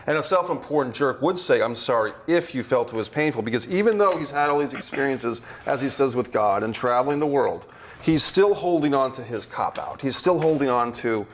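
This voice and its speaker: A man with a medium-pitched voice leads a rehearsal. He explains his thinking to actors in casual, conversational language and sounds encouraging as he gives notes. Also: very animated, fairly quick. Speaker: director and writer leading a table read.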